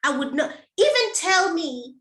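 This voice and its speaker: A middle-aged woman with a high voice speaks slowly and deliberately as a coach, encouraging her listeners and repeating key phrases. Her voice rings out in an echoey room. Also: sing-song, pushed and bright. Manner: encouraging, repetitive, direct address to listener. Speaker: menopause coach and host. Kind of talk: live motivational talk to viewers.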